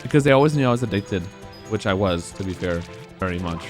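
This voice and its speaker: A man's voice: on the low side, animated, fast, music in the background. A man in his twenties speedrunning on a live stream, rambling casually and answering chat between moves.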